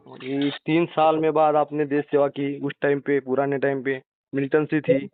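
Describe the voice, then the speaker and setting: A man's voice: mid-range voice, little pitch movement, normal speed, a little hiss or hum. A man doing a phone interview, prompting the other person with questions in a sympathetic manner. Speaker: interviewer, activist. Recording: roomy, tinny phone-line audio.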